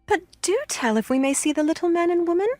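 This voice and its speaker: Woman doing pleading little kids for a storybook, high, eager and desperate. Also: animated, fast, darkish tone, no room sound, soft background music.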